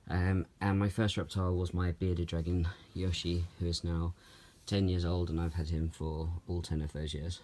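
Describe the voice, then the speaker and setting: A young man from Norway talks through viewer questions at home with his snakes. His voice sits very low and mellow, and it stays level, without much rise or fall. He speaks in a calm, unhurried way, full of ums and soft laughs. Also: a little hiss or hum. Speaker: reptile keeper answering viewer questions. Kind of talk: relaxed Q&A vlog. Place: at home, handling snakes while filming.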